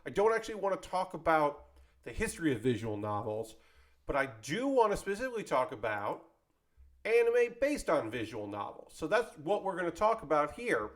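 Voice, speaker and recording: Male host, slightly high voice, off-the-cuff commentary, conversational and meandering, self-correcting. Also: very animated, normal speed. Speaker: host of an anime club video show. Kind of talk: casual unscripted commentary. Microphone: close microphone.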